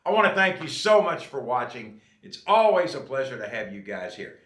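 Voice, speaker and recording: A man's voice: medium pitch, very animated, normal speed, fairly bright tone, roomy sound. A man in his late fifties giving casual, folksy advice to viewers, often checking in with "okay?". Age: 50s. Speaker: drummer and channel host.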